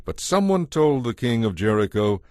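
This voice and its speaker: A man giving measured, solemn scripted narration of an audio Bible. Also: medium pitch, sing-song, a little mellow, dry recording, clean studio sound.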